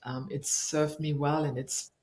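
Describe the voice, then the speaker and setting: A middle-aged woman from Germany, a leadership coach, answering interview questions thoughtfully and pausing often to search for her words. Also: deep voice, little pitch movement, a little mellow. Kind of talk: reflective interview conversation. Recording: echoey room.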